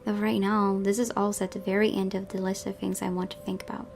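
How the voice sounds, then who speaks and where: Woman narrating a game, slightly creaky. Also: mid-range voice, level tone, fast, a little mellow, a little hiss or hum. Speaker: gaming video host reading a visual novel. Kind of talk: expressive story narration with casual let's play asides.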